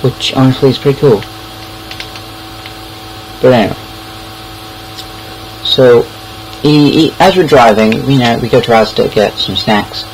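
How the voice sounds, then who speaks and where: A man's voice: medium pitch, a little slow, long pauses, slight background noise, low-quality recording. A young British man casually telling anecdotes in a rambling, hesitant way, repeating words as he goes. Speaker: online storyteller.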